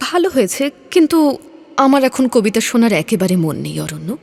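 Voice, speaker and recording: A woman playing a poet's teasing sweetheart in an audio drama, gently chiding and playful. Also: fairly high pitch, sing-song, brisk, vocal fry, exaggerated voice. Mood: irritated, chiding. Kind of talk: Bengali audio drama dialogue. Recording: music under the voice. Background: background music.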